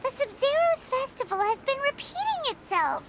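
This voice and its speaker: Super high squeaky little fairy sidekick, childlike n excitable, game dialogue, noisy clip. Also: female voice, moderate pace, heavily acted. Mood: curious. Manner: speaks of self in third person. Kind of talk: English video game voice acting.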